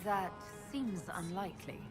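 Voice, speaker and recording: A woman voicing a composed, wry and diplomatic elf hero in a video game, measured and gently teasing. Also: mid-range voice, lively intonation, music in the background, lightly acted. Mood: curious, wry. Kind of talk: video game character acting.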